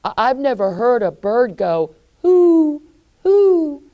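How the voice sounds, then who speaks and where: Woman playing a brave little boy, reassuring, slightly raised pitch, storybook. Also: sing-song, slow pace, muffled recording, heavily acted. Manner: reassuring and comforting. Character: brave protective big brother Hansel.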